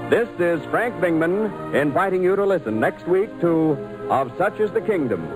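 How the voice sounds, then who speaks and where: A man's voice: sits high, animated, moderate pace, low-quality recording. A man announcing in a formal, dramatic 1940s radio style, reading from a script on an old broadcast. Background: music.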